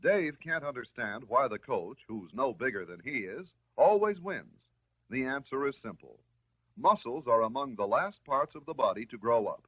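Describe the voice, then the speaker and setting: An American man delivering scripted narration in the style of a 1950s educational film, measured, instructive and reassuring. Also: mid-range voice, slightly rough. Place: voice-over recorded for film. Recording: muffled, narrow-band 1950s film soundtrack.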